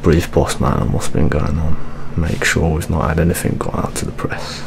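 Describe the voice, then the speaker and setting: Man playing tired British detective, low-pitched, crackly fry, hushed, fatherly, ASMR roleplay. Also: steady tone. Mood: hushed, businesslike. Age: young adult. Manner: hushed, slow, colloquial, partly whispered. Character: weary, world-worn British male police detective, fatherly.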